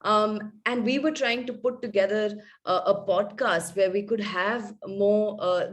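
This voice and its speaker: A woman journalist speaking on a webinar panel over a video call, thoughtful and halting with many fillers. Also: medium pitch. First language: not Hindi. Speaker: journalist and podcast maker.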